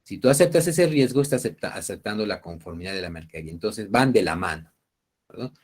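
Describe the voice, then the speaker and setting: Low-pitched Peruvian man teaching a class. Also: expressive, quick pace, a little mellow. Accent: Peruvian Spanish. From Peru. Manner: didactic, checking understanding with tag questions. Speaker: university lecturer. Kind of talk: online class explaining trade law cases.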